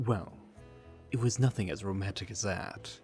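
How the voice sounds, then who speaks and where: A man playing a deadpan, stoic teen boy. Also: low-pitched, normal speed, soft background music, natural voice. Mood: calm. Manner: flat, terse deadpan. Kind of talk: fan audio drama dub.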